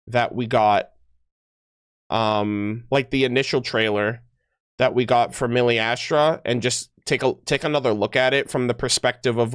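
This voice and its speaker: A man's voice: mid-range voice, fairly bright tone, no room sound. Man streaming casually, dry close mic. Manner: halting, rambling, thinking aloud. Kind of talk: casual livestream commentary. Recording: music playing behind voice. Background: music.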